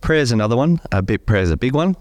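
A man co-hosting a faith podcast, slightly croaky from a cold, talking in a relaxed way with many fillers. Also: medium pitch, rapid-fire, dry recording. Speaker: podcast co-host. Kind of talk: casual faith podcast chat.

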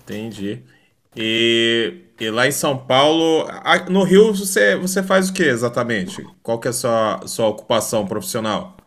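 A man's voice: mid-range voice, sing-song, measured pace, a bit bright. A man interviewing a candidate, posing long questions that he keeps qualifying. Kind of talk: interview conversation.

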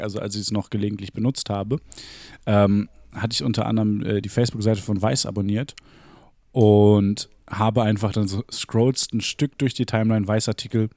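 Young guy podcast cohost, low warm voice, rambly jokey chat. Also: no room sound. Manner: rambling, joking, laughs a lot. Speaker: podcast co-host. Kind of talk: casual banter.

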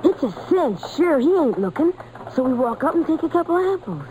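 A woman's voice: high-pitched, big pitch swings, fairly quick, muffled recording. A woman playing a bold, scheming young street urchin boy in an old radio drama, tough and slangy. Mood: sly, daring. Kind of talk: 1940s radio acting. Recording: old noisy radio broadcast recording.

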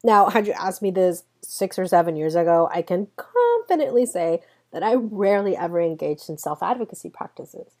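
A woman's voice: on the low side, very animated, moderate pace, few pauses, a little mellow. A woman in her twenties, a native Spanish speaker, hosting a solo podcast and telling personal stories earnestly while speaking straight to her listeners. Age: 20s. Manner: earnest personal storytelling, addresses listeners directly. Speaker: podcast host.

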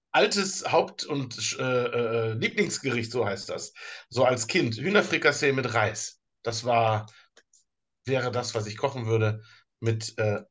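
German male podcast co-host, easygoing and halting, mid-pitched voice. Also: a bit bright. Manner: hesitant, many fillers and restarts, slow in places. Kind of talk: casual podcast chat.

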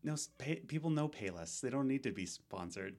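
A man's voice: mid-range voice, lively intonation, a little fast, darkish tone. A young man co-hosting a casual gaming podcast, rambling with lots of fillers and restarts. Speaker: podcast co-host.

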